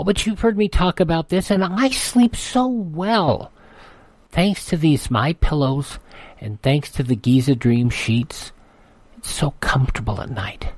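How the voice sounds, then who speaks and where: A man's voice: mid-range voice, darkish tone, dry recording. A man hosting a classic radio program, reading ads and station notes in a folksy, chatty way. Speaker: radio host. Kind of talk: casual host patter and live-read ads.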